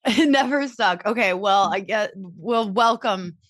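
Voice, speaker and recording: A woman's voice: mid-range voice, a little slow, fairly bright tone, dry recording, clean studio sound. Chatty thirties woman podcast host. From Southern California. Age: 30s. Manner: chatty, laughs often, filler-heavy. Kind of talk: casual conversational interview.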